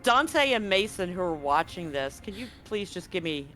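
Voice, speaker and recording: A woman's voice: lowish voice, big pitch swings, normal speed, pushed and bright, soft background music. A woman game master casually narrating a livestreamed tabletop game to her players and viewers.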